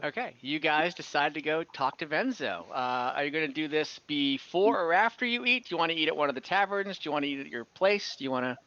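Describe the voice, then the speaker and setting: Man, game master, casual narration, forward bright tone, slightly muffled online call. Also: on the high side, animated, a little fast, few pauses. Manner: informal, conversational.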